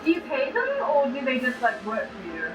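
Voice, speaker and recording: A woman's voice: high-pitched, very animated, a little fast, music in the background. A woman playing a tabletop game, casually improvising her lines in character. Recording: roomy distant mics with noisy background audio.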